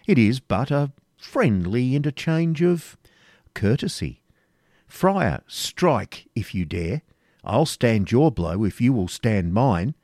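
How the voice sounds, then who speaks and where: A man's voice: medium pitch, big pitch swings, takes it slowly, a little mellow, dry recording, studio quality, full character voice. A man voicing a bold, confident knight in a storybook reading, wry and provoking, with archaic phrasing. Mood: bold challenge. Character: bold, teasing medieval knight, unshakeably confident.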